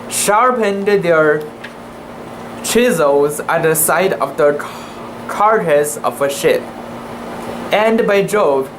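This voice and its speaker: An amateur reader giving a dramatic reading of a classic sea story, in a halting, deliberate way with occasional stumbles over words. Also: medium pitch. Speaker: amateur reader making videos. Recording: noisy room with steady background hum.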